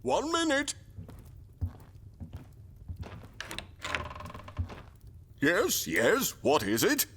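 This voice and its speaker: Gloating villain, audio drama, very slow, theatrical swooping pitch, pompous, music underneath. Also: male voice, huge pitch swings, a bit bright, a little hiss or hum, exaggerated voice. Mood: flustered. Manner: pompous, drawn-out villainous monologue. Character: gloating male shape-shifting criminal mastermind, theatrical, posing as Santa.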